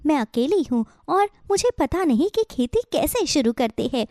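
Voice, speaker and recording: Little female cartoon bird, played by a woman: high, lively pitch, dry sound, eager and determined. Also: normal speed, no room sound. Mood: earnest, pleading. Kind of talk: Hindi children's cartoon story acting.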